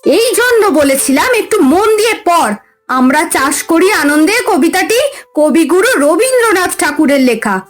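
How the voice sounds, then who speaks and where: Woman playing a high-voiced strict cartoon mother. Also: lively intonation, moderate pace. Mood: prompting. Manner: scolding, instructive, emphatic. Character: strict but caring mother of a schoolgirl. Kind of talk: cartoon story dialogue.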